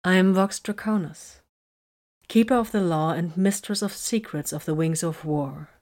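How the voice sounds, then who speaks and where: A woman's voice: on the low side, moderate pace, a little mellow, lightly acted. Smug amused female commander, sweet sarcasm; a woman narrator voices her.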